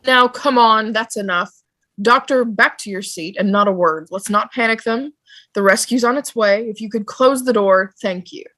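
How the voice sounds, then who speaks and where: A woman playing a brisk, no-nonsense pilot in an amateur drama table read, matter-of-fact and dismissive. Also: fairly high pitch, fairly bright tone, lightly acted. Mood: brisk, firm. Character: brisk, no-nonsense vehicle pilot keeping order. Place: online video call table read.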